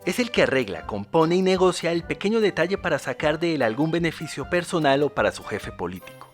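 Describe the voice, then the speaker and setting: A man's voice: a little high, big pitch swings, brisk, faint music behind. A man reading scripted documentary narration.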